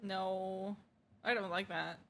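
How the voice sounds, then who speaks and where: Casting: young female game streamer, casual and reactive, slow-paced delivery, middling pitch. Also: steady tone. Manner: informal, reactive. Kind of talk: casual live game commentary. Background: game music.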